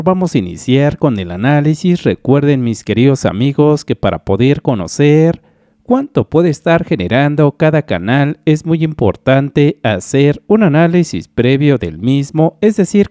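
A man's voice: mid-range voice, dry recording. Guy from mexico going thru youtube money numbers, bouncy tone, dead dry sound. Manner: lively explanatory, repeats "mis queridos amigos". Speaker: YouTube channel analyst host. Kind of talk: screen-recorded earnings analysis voice-over.

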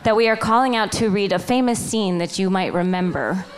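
A woman's voice: mid-range voice, brisk. A woman co-hosting a live podcast from a theatre stage in a casual style. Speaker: podcast co-host. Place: on stage in a theatre before an audience. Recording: noisy live theatre recording.

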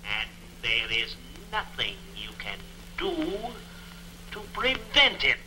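Male villain, 1940s serial: sharp, forceful, slow menace, echoey humming old soundtrack. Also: mid-range voice, wildly swooping pitch, a little hiss or hum, heavily acted. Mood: menacing, gloating. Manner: slow, deliberate, imperious. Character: invisible male villain mastermind, commanding and menacing. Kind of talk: 1940s film serial acting.

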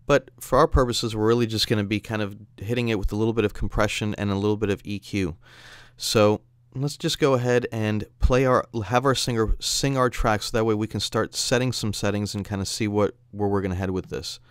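A man narrating a software tutorial as an instructor, explaining in a conversational way. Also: fairly low pitch, fairly even intonation, a little fast, few pauses, clear, resonant tone, dry recording, studio quality. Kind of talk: tutorial narration over screen demo.

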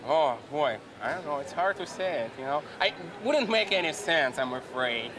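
Russian native guy in his 30s, bit high voice, halting chat, noisy convention floor. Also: animated. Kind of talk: casual interview answer. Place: crowded indoor convention hall.

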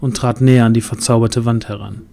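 A man's voice: mid-range voice, steady tone, fairly quick, vocal fry, warm tone. A man narrating a scripted fantasy audio drama in a dramatic storytelling manner.

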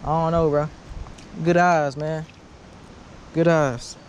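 A man's voice: fairly high pitch, little pitch movement, slow, dull audio, slight background noise. A young man talking casually and in slang as he narrates his own fishing vlog. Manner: slangy, informal. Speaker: fishing vlogger. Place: outdoors in a small boat on a lake. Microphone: body-worn action camera.

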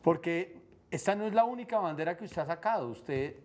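Probing Colombian TV interviewer. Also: male voice, a little high, lively intonation, a little mellow. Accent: Colombian Spanish. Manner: measured, probing questions. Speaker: TV host interviewer. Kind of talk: studio interview questioning. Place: TV studio set. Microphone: lapel mic.

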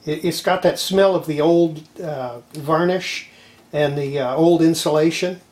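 A man's voice: on the high side, a little slow, a little mellow. An older man giving a casual, folksy workbench demonstration, half muttering to himself as he works. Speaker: hobbyist restorer presenting his repair video. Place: indoor home electronics workshop. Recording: roomy echo, clattering tool and handling noise.

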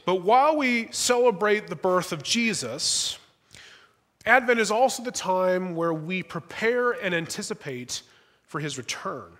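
A man's voice: high voice, expressive, a little slow, fairly bright tone. A young man preaching a sermon as pastor in a church sanctuary, conversational with light humor and small restarts. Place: church sanctuary, large indoor room. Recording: long church reverb.

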